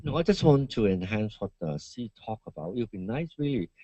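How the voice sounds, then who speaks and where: A man offering halting, unscripted feedback as a conference attendee. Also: on the low side, very animated, warm tone, no echo. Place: conference hall. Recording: dull, dry event recording.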